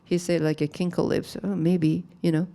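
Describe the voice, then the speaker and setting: Woman artist explaining her work in a gallery, halting english, low-ish voice, lots of "you know". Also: level tone, creaky, warm tone. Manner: halting, plain non-native English with many "you know" fillers. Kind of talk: gallery talk about her art. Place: gallery, speaking to an audience.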